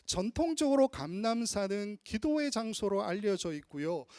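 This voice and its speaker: Male pastor, teaching sermon, high and expressive delivery, dry close sound. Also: dry recording. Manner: teacherly, draws out Greek and Aramaic word forms slowly. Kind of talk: expository sermon. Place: church sanctuary.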